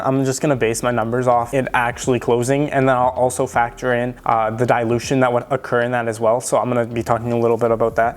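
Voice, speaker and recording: Raspy-voiced young man talking stocks. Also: medium pitch. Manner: chatty, filler-heavy, enthusiastic explaining. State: raspy from tonsillitis. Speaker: stock investing YouTuber. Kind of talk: casual stock analysis talk to camera.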